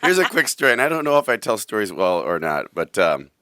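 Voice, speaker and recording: Hoarse radio host, very low voice, dry studio sound, loose rambling storyteller. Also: male voice, very animated, quick pace, bright, forceful tone, dry recording. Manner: rambling storyteller, self-deprecating. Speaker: radio show host, real estate agent. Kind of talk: casual radio talk.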